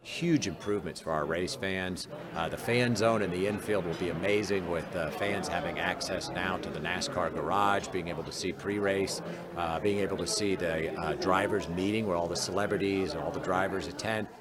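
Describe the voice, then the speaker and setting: A middle-aged American man with a low, deep voice answers as an interviewed executive. A loud, busy crowd surrounds him. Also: steady tone, normal speed. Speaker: racetrack president being interviewed. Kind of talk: spoken interview remarks. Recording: loud crowd and outdoor venue noise.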